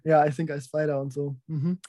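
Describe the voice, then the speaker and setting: A man's voice: on the high side, flat delivery, normal speed, warm tone. A man co-hosting a podcast, chatting casually and thinking aloud playfully while he makes a guess. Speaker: podcast co-host.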